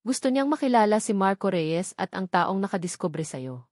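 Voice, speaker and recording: A woman's voice: mid-range voice, animated, moderate pace, a little mellow, no room sound, studio quality, natural voice. A woman storyteller voicing a shy, kind young female vendor, modest and sincere, in a love story. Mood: stunned.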